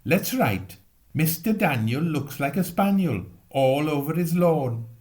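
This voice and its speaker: A man voicing an excitable, imaginative young boy in a storybook, eager and animated. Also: fairly high pitch, a little slow, pitched above the performer's own voice. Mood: playful excitement. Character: excitable, imaginative young boys plotting mischief.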